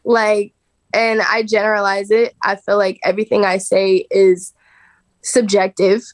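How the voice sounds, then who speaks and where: A woman's voice: mid-range voice, dry recording. A woman in her twenties, a podcast guest, chatting casually and laughing often. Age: 20s. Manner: relaxed, laughing, chatty with lots of fillers. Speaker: guest, social media creator. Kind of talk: casual chat.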